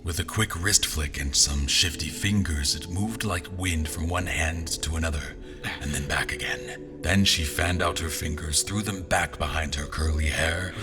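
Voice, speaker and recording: An actor playing a brooding, suspicious adventurer, narrating his story in a measured, dramatic way. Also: extremely low pitch, music in the background. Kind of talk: scripted first-person story narration.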